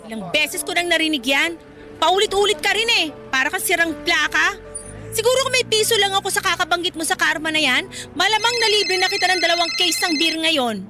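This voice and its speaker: A stubborn young Filipino woman in a radio drama. Her voice is extremely high and piercingly bright, with light music underneath. She talks back with slangy, defiant sass. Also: expressive, brisk, full character voice. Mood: dismissive, irritated. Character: brash stubborn young woman who uses men for money.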